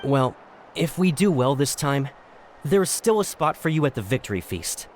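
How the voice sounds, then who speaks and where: Actor as regretful, expressive veteran warrior man. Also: mid-range voice, moderate pace, a little hiss or hum, close to an everyday voice. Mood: conciliatory. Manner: apologetic, reasoning. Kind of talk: English video game voice acting. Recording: music behind the voice.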